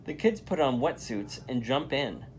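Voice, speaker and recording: A man gives an animated read-aloud of a children's storybook. His pitch moves freely and he leans on key words with expressive emphasis. There is faint noise behind his voice. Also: unhurried. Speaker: volunteer read-aloud reader.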